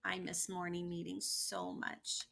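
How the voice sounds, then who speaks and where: Woman reading as earnest, eager young schoolchild, bright-voiced. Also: on the low side, monotone, measured pace, creaky, exaggerated voice. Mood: wistful.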